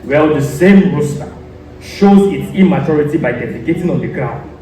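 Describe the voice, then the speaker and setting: A man's voice: on the high side, lively intonation, moderate pace, dark tone, slight background noise, lightly acted. A man playing a troubled royal nobleman in a stage drama, speaking in an emphatic, declamatory way. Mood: troubled, guarded. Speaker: student stage actor. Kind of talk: theatrical stage acting. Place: indoor theatre stage. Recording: echoey theatre from far off, music.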